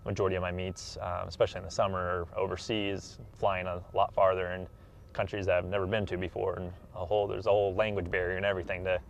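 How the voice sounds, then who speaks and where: Low-voiced young man, dull recording. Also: little pitch movement, fast, creaky. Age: 20s. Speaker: interview guest, professional track athlete. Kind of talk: casual conversational interview answers. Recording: dull, slightly noisy room recording.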